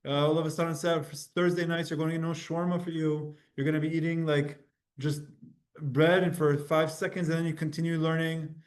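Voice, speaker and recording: Animated high-voiced man teaching Talmud. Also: brisk. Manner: animated, acts out quotes with sudden jumps in pitch and loudness; mixes Hebrew and Aramaic terms into casual English. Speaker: Torah teacher. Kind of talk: lively Talmud class lecture.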